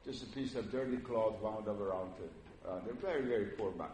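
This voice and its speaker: A man's voice: on the low side, darkish tone. Older man lecturing in a hall. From Iran. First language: Persian. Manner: measured and earnest, frequent "uh" pauses. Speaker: religious lecturer. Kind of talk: public lecture to an audience. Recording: roomy hall with faint noise.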